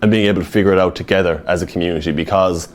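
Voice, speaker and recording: A man's voice: deep voice, steady tone, very fast. A man in his twenties with a rural West Limerick Irish accent, a university student giving an earnest, enthusiastic open day talk to prospective students. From Ireland. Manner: earnest, enthusiastic, with filler ums.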